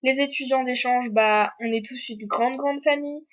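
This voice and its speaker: A woman's voice: sits high, moderate pace, dull audio. A teenage girl from France, an exchange student, chatting candidly to the camera with many fillers. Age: teens. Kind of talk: casual chat to camera.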